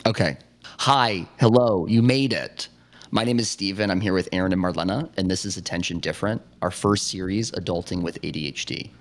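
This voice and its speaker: A man in his thirties hosting a podcast, reading a welcoming intro and asking gentle questions. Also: low voice, brisk. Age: 30s. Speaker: podcast host. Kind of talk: scripted podcast intro and interviewing.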